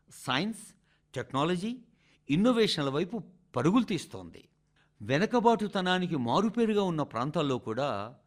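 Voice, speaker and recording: A man reading a scripted radio address in a formal, measured oratorical manner, speaking directly to the listeners. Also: a little high. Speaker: radio reader of a translated national address.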